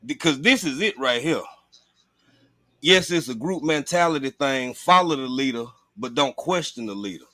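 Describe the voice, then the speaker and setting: A man's voice: medium pitch, animated, moderate pace, bright, forceful tone, no room sound, studio quality. A man chatting casually on a livestream in a blunt, profane, informal way. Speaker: livestream host.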